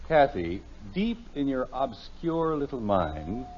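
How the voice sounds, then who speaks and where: Radio sitcom father: mid-pitched, lively inflection, dry sarcasm, exasperated, dull vintage sound. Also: takes it slowly, a little hiss or hum. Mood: dry, impatient. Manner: dry sarcasm, wordy, mock-formal. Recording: muffled old radio broadcast recording.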